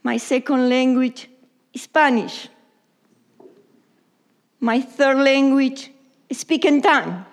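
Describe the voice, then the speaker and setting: A woman pastor from Argentina with an Argentine accent, whose first language is Italian, preaching a sermon in halting, repetitive English that sometimes drops to a whisper. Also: high-pitched, fairly even intonation. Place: church service.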